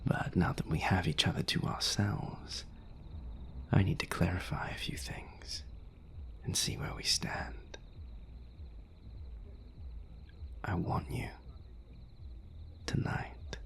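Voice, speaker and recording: A performer playing a seductive, courtly vampire in an intimate romantic audio roleplay, whispering in a slow, suave, teasing way. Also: sits low, steady tone, heavily acted. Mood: hungry, intimate. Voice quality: breathy, whispery. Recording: music behind the voice.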